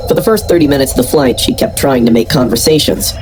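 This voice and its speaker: A man's voice: mid-range voice, fast talker, background noise. A young adult man reading a scripted first-person horror story as narrator. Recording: music bed under narration. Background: background music.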